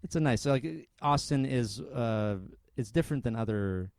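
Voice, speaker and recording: Curious man host, halting questions. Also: medium pitch, creaky, a little mellow, dry recording, clean studio sound. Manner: curious, asks follow-up questions, hesitant with many uhs. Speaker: podcast host. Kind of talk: casual interview chat.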